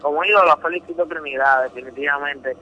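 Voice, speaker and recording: A man's voice: on the high side, fast talker, sharp tone, slight background noise, poor audio. A young Puerto Rican man speaking Spanish with a Puerto Rican accent, a boxing champion answering a radio interview in an earnest, emphatic way, often repeating words. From Puerto Rico.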